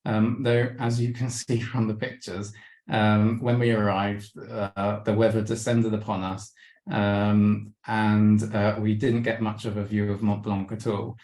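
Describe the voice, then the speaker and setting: A man's voice: on the low side, little pitch movement, measured pace, a little mellow, roomy sound. A man giving a slideshow travel talk on a video call, hesitant with many ums and restarts, and losing his voice. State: losing his voice, clearing throat. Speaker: webinar presenter.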